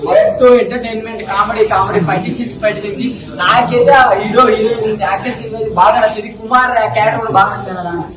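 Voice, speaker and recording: A man's voice: high voice, fairly even intonation, racing. A man giving an enthusiastic, repetitive, casual reaction to a film as a moviegoer. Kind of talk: excited casual public reaction. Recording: echoey, crowd around, distant from mic.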